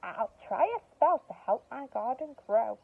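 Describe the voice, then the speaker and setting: A woman voicing an excitable, exasperated storybook witch in a dramatic, exclaiming manner. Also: a little high, measured pace, vocal fry, husky, narrow, tinny audio, exaggerated voice. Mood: dismayed.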